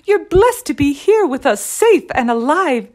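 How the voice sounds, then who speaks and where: A woman's voice: high voice, sing-song, a little slow, voice pushed up. A woman voicing a fussy, loving mother hen in a children's storybook. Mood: relieved. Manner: clucking, motherly.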